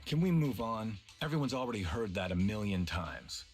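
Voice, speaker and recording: A man's voice: on the low side, sing-song, normal speed, faint music behind. A man voicing a proud, disdainful veteran tiger actor in a video game, with a haughty, pompous manner. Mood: impatient, haughty.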